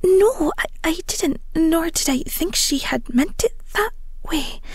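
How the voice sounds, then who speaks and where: A woman voicing an anxious, apologetic young fighter in a scripted audio roleplay, breathy, halting and stammering. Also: very high-pitched, animated, a little mellow, dry recording, full character voice. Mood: flustered denial.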